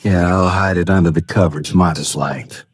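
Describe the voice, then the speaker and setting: An actor playing a cool, wry, laconic gunfighting frontier doctor in a 1950s radio western. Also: male voice, deep voice, fairly even intonation, moderate pace, breathy edge, no echo, clean studio sound. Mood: wry, calm.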